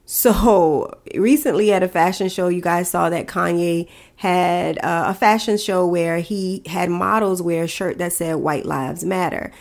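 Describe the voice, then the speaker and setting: A woman with a fairly low voice hosts her own podcast while fighting a head cold, so she sounds stuffy and congested. She talks frankly and chattily, giving her opinions openly. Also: measured pace, vocal fry, hardly any pauses, a little mellow. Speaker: podcast host. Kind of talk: casual solo podcast commentary.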